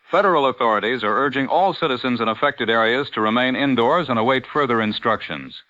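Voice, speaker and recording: An actor playing a grave 1940s radio news anchor, delivering a formal, urgent bulletin. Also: male voice, mid-range voice, no room sound, natural voice. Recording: dry, dull old radio broadcast sound.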